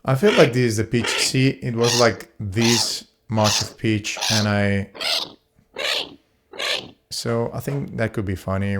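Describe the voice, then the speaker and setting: Male tutorial presenter, lowish clean voice, casual, playful, thinks aloud as a sound designer at work. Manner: playful, informal, thinking aloud while working. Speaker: sound designer demonstrating a voice plugin.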